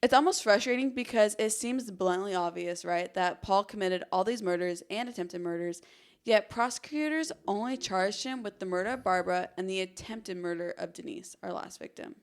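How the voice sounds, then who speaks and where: A woman's voice: mid-range voice, normal speed. Woman casually telling a true-crime story. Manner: casual, frequent "like" as hedging. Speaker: true-crime podcast host.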